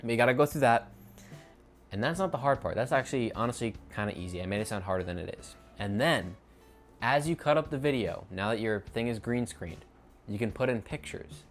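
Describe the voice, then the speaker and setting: A young man chatting to the camera with no script, wandering through his thoughts and stopping to fix his own words. His voice sits at an ordinary middle pitch, with some faint music underneath. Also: animated, a little fast. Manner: rambling, self-correcting, off the cuff. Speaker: YouTube creator. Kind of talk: unscripted casual vlog talk.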